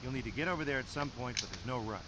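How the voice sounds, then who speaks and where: A man playing a brisk video game tutorial narrator who guides the player. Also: medium pitch, expressive, very noisy, close to an everyday voice. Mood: brisk, instructive.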